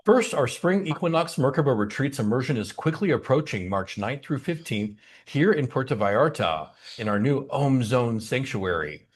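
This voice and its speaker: A man leading an online spiritual service, speaking in a gentle, inviting and personable way as he guides listeners. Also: medium pitch, lively intonation, moderate pace, hardly any pauses, slightly rough.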